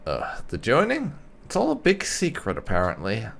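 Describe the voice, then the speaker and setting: A man's voice: medium pitch, wildly swooping pitch, moderate pace, vocal fry, fairly bright tone, a little hiss or hum. A man giving casual let's play commentary as a gaming host, musing aloud with wry asides and some roleplay.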